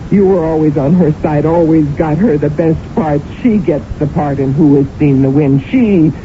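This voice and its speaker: Actress doing anguished wife in old radio mystery, intense n halting, thin phone-like sound, bit of noise. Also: female voice, low-pitched, lightly acted. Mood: bitter. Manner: theatrical, halting, emotionally intense. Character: anguished, defensive, jealous actress wife under accusation. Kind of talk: radio mystery drama acting. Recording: noisy, narrow-band old radio recording.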